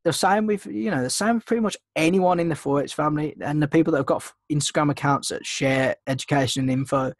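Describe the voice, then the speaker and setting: Brit guy with a bit of a cold running a trading webinar, kinda high voice, dry close mic, lots of ums. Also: fast talker, few pauses, dry recording. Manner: informal and rambling, with frequent ums and restarts. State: slightly congested from a cold. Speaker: trading mentor hosting a webinar. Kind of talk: casual screen-share teaching.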